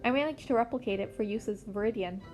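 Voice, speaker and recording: A woman's voice: on the high side, fast, a little mellow, lightly acted. A woman voicing a fifteen-year-old boy vigilante in an amateur audio story, blunt and offhand, with music underneath. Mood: nonchalant.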